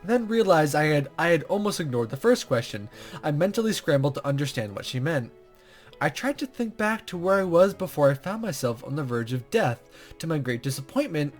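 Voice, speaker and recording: A man hosting a let's play, reading visual novel text aloud with casual commentary and stumbling over words as he goes. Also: fairly high pitch.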